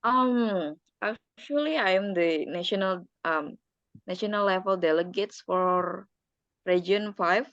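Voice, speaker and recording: A young woman, a senior student and national debate delegate, chatting in a brisk and inquisitive way. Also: medium pitch, fairly bright tone, dry recording. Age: young adult. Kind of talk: casual chat. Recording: slightly dull, lo-fi sound.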